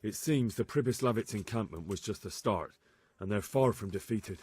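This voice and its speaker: An actor playing an earnest young medieval spy in a game, plain-spoken and respectful. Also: male voice, fairly low pitch, moderate pace, a little mellow, husky. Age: young adult. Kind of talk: video game cutscene acting.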